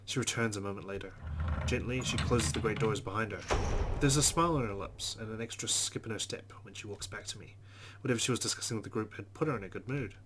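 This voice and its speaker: A man's voice: on the low side, fast talker, noisy background. A man hosting a let's play, narrating a visual novel aloud and adding his own asides.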